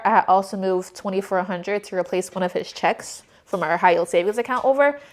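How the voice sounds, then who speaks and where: A young woman giving a casual budget recap as a vlogger, chatty and candid with informal slang. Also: medium pitch. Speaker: personal finance vlogger.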